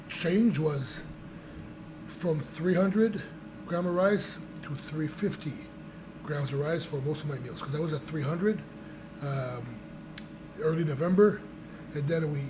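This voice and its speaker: Raspy-voiced man vlogging through a cold. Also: on the high side, noisy background. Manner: rambling, with restarts and fillers. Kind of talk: casual chat to camera.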